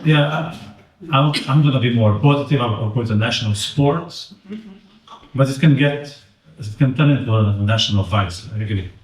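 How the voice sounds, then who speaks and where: A man's voice: mid-range voice, normal speed. A man with a Portuguese accent giving a chatty, joking talk and quizzing the audience. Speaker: invited speaker. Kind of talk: interactive public talk. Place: community event room. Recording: roomy echo, mic at a distance.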